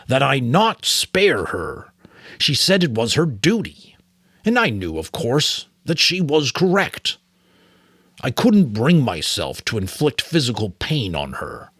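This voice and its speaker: A man's voice: medium pitch, fairly bright tone, husky, dry recording, studio quality, voice pushed up, full character voice. A man voicing a sorrowful, weary old mentor in a grave, faltering, earnest way, as in a storybook. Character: sorrowful, weary old male mentor confessing painful memories.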